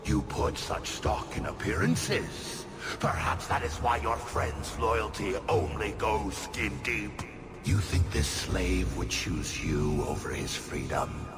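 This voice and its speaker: An actor playing a proud demon tempter in a video game, gravelly and theatrical, insinuating and scornful as he speaks. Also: takes it slowly. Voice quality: gravelly, creaky, very low. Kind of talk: dramatic video game acting. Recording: loud music, long reverb.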